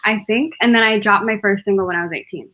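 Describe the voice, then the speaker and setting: A woman's voice: medium pitch, fast, pushed and bright. Young woman chatting as interview guest. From Connecticut, United States. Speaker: guest music artist. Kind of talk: casual interview chat.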